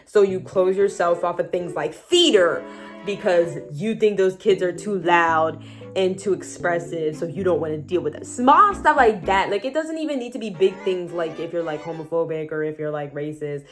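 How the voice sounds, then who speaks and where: A teenage girl giving casual high school advice in a chatty vlog, saying "like" a lot. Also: normal speed, faint music behind. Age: teens. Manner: chatty, heavy use of "like", animated asides. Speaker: high school student vlogger.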